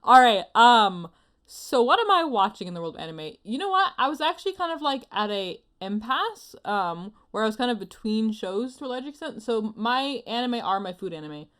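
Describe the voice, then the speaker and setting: A woman's voice: a little high, very animated, normal speed, a bit bright. A woman hosting a casual podcast in a playful, giggly, jokey manner. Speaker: podcast host.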